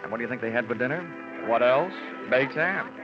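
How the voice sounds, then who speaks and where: A man's voice: medium pitch, lively intonation, over music, lightly acted. An actor playing a wisecracking male insurance investigator, narrating and quipping in 1940s radio drama style. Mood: wry. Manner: dry sardonic quips, brisk narration. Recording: dull lo-fi old radio broadcast.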